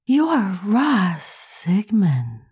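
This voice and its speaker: An actress playing a cool, intelligent heroine with a husky voice in an audio drama. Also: female voice, mid-range voice, sing-song, drawn-out words, darkish tone, full character voice. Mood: cool, measured.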